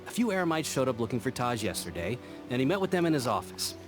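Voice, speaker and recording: A concerned officer played by a male actor with a mid-pitched, lively voice. He explains things plainly in video game style, with music clearly behind him. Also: fast, lightly acted. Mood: uneasy, explaining. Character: concerned male officer giving his account. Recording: background music.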